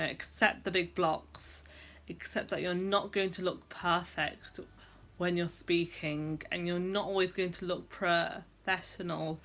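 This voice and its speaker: A woman's voice: on the low side, vocal fry, fairly bright tone. A young adult woman who stammers, talking reflectively to camera in a personal vlog, with frequent fillers and repeated sounds. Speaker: vlogger.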